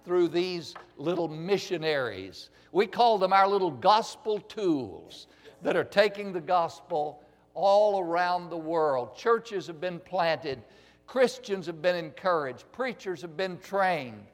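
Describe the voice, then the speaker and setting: A man addressing a church congregation, speaking earnestly and deliberately and often repeating words as he goes. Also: high voice, expressive. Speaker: missionary ministry speaker. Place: large church hall. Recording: reverberant church hall.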